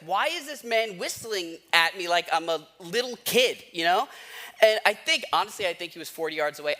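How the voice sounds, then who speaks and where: A man's voice: high voice, pushed and bright. An American man in his thirties preaching a conversational sermon, telling personal stories in everyday slang and posing rhetorical questions. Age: 30s. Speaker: pastor preaching.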